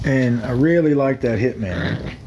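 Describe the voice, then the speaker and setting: A man's voice: medium pitch, animated, measured pace, warm tone, a little hiss or hum, rough recording. A young man chatting on a phone livestream, rambling, repeating himself and thanking viewers by name. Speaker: livestream host. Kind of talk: casual livestream chat with viewers.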